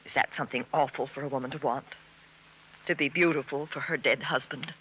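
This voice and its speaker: Grieving, vain radio-drama widow, muffled. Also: female voice, extremely low pitch, steady tone, a little fast. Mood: proud, intense. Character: grieving, vain, beautiful widow, proudly intense. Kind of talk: 1950s radio drama acting. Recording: muffled, slightly noisy old radio recording.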